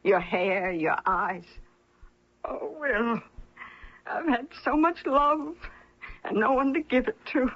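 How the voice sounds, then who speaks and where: An actress playing a lonely, doting older mother in a radio drama, emotional and repeating herself in a husky, breathy voice. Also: high voice, takes it slowly, pauses often, full character voice. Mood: tender, emotional. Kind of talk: 1970s-80s radio mystery drama acting. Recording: dull lo-fi old radio recording.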